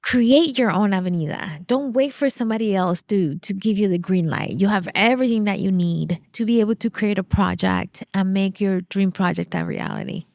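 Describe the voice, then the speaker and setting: A woman's voice: mid-range voice, moderate pace, creaky, few pauses, dry recording, clean studio sound. A middle-aged woman from Venezuela, a native Spanish speaker, telling her story animatedly as an interview guest. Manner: animated storytelling with frequent laughs. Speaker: guest, producer and CEO. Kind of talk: interview conversation.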